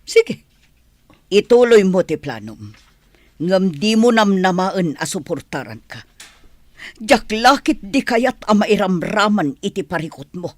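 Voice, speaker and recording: A woman's voice: mid-range voice, sing-song, measured pace, dry recording. A woman playing a worried, stubborn middle-aged wife and mother in a radio drama. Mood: firm, resentful. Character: worried, stubborn mother opposing her husband's political ambition.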